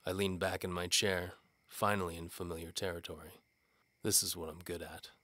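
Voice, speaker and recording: A deep, deadpan noir detective knight. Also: male voice, moderate pace, dry recording. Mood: weary, wry. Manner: deadpan, world-weary, wisecracking. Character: down-on-his-luck cynical gruff male knight-for-hire detective narrating. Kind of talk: hardboiled noir first-person audio drama narration.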